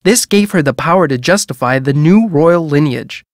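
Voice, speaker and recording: A woman playing a composed museum audio guide, reading educational narration in a measured, formal manner. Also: low voice, expressive, dry recording, studio quality. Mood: composed, informative.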